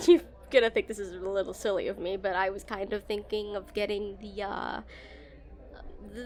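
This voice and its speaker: Woman acting as shy, high-voiced game developer. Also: fairly bright tone. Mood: flustered. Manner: flustered, hesitant, stammering, giggly. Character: awkward, shy female game developer on dinner date. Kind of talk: romantic audio roleplay, conversational acting.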